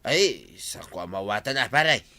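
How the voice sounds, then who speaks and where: An actor playing the captain's friend, who keeps reacting with disbelief. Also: male voice, fairly low pitch, lively intonation, a little slow, sharp tone, exaggerated voice. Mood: puzzled. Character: puzzled male friend of the captain.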